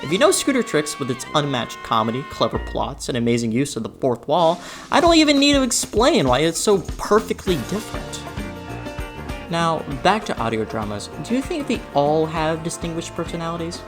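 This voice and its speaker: Lowish voiced woman doing a youtube essay, sarcastic and dramatic, really animated, music behind her. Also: moderate pace. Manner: theatrical, sarcastic, exaggerated emphasis. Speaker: video essay host and reaction YouTuber. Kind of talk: scripted video essay narration addressed to the viewer. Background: background music.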